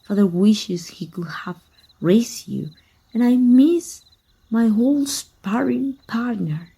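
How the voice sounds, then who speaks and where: A woman performs a sadistic, scheming vampire hunter in an audio roleplay, with a gravelly creak in her dark, mellow voice. She is a manipulative sister who taunts in a deliberate, mock-sweet way. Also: on the high side, lively intonation, full character voice. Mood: coaxing. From Mexico. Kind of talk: ASMR roleplay acting.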